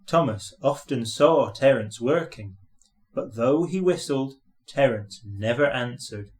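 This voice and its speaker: A man reads a children's storybook aloud as narrator. His delivery is slow, with a medium-pitched voice. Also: expressive, darkish tone.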